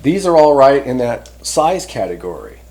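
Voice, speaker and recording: A man presenting a product review in casual, unscripted talk, rambling conversationally and often pausing to rephrase himself. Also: medium pitch, very animated, darkish tone. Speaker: host reviewing CB radio antennas.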